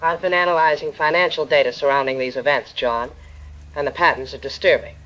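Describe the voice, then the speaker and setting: A man's voice: on the high side, fairly even intonation, fairly quick, bright, forceful tone, faint music behind. A man playing a serious, analytical investigative journalist giving precise findings in an audio drama. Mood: serious, concerned. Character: serious investigative journalist exposing corporate profiteering. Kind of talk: formal news testimony in audio drama. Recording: muffled lo-fi sound, noisy ambience.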